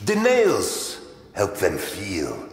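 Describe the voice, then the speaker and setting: A low-voiced mad scientist in an audio drama, softly menacing and unhinged. His halting, courteous delivery swings widely in pitch, and rings through an echoing hall. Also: huge pitch swings, gravelly, full character voice. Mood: gleeful menace. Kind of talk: full-cast audio drama acting. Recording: echoey hall, faint music behind.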